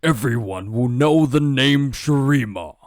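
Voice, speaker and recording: A man's voice: mid-range voice, animated, a little slow, no echo, studio quality. A man voicing a majestic, proud game emperor in a solemn, declamatory manner. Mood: regal, triumphant. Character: majestic proud desert emperor returned to rule.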